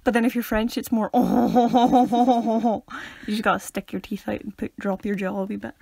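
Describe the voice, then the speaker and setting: A woman's voice: on the high side, little pitch movement, moderate pace, gravelly, dark tone, slightly rough, no echo. A young woman co-hosting a casual podcast, giggly and playful, often dropping into whispers. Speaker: podcast co-host. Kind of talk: casual chat.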